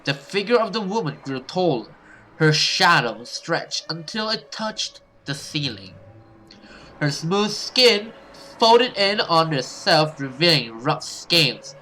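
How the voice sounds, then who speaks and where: A man doing expressive let's play commentary and dramatic read-aloud of a horror visual novel as a gaming host. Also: a little high, slow pace, slight background noise, rough recording. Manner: expressive, reactive, sweary.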